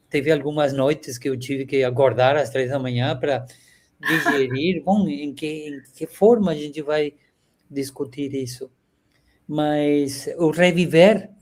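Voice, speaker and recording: A man in his sixties from Venezuela, speaking Portuguese with a Venezuelan Spanish accent, reflecting slowly as an interview guest over a video call with many pauses and the filler "este". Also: fairly high pitch. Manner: warm, halting, frequent filler "este".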